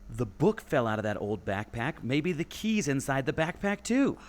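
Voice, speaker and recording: A man acting an eager, clue-spotting adventurer in a scripted radio play, expressive and theatrical. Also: medium pitch, moderate pace, close to an everyday voice.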